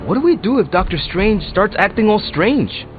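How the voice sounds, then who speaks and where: Very high, animated hero. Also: male voice, moderate pace. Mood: joking. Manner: playful, animated. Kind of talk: video game voice acting. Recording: tinny band-limited game audio over music.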